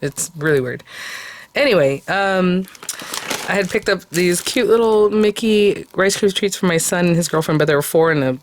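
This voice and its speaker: A middle-aged woman with a hoarse, nearly lost voice, hosting a crafting vlog in a rambling, chatty way full of fillers. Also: fairly low pitch, normal speed, a little hiss or hum. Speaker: crafting channel host. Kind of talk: casual vlog chat.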